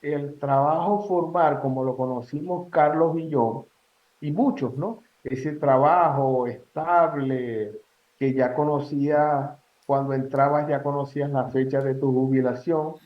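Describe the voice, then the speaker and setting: A Venezuelan man in his sixties speaks in a measured, advising way, dropping "este" as he thinks. His voice sits a bit high for a man. The sound is roomy and dull, as if from an echoey space on a lo-fi line. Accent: Venezuelan Spanish. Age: 60s. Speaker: guest. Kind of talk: casual interview answers.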